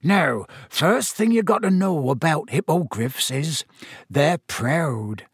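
Storybook role: huge, eager rustic creature-keeper played by a man, booming and folksy, very animated delivery. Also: slow, slightly rough, no echo, clean studio sound, full character voice. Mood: earnest instruction. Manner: booming, folksy, ungrammatical speech. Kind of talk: audiobook character voice.

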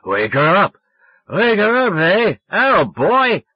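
A man voicing a drunk teenage boy on a late phone call, pleading insistently and repetitively in slurred yells, as in an audiobook. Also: sits high, sing-song, slow, husky, no echo, voice pushed up, full character voice. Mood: drunken, demanding.

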